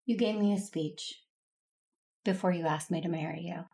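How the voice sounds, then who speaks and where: Woman acting earnest low-voiced female Jedi Master. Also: moderate pace, vocal fry, warm tone. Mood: tender, hopeful. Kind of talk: first-person audio drama narration and dialogue.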